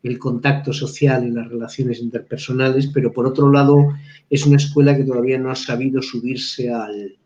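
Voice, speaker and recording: A man speaks as a guest professor on a live video call. He thinks aloud, pausing on fillers and restarting phrases. The sound is roomy and a little dull, like webcam audio. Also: medium pitch, steady tone, warm tone. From Spain. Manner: reflective, halting, frequent restarts and fillers. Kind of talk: live webinar discussion.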